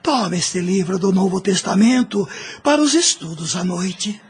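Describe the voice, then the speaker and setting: An actor with a Brazilian accent playing a kindly, devout old man in an audio drama, gravelly and fatherly. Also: high-pitched, animated, moderate pace, full character voice. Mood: warm, inviting. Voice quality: gravelly, husky.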